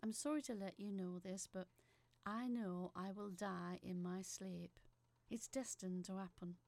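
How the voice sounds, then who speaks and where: A woman's voice: medium pitch, fairly even intonation, a little slow, vocal fry, darkish tone, no room sound. A woman playing a chatty, apologetic working-class factory worker, telling her story as a rambling, wistful letter in an audio drama. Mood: apologetic, anxious. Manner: rambling, self-deprecating, wistful.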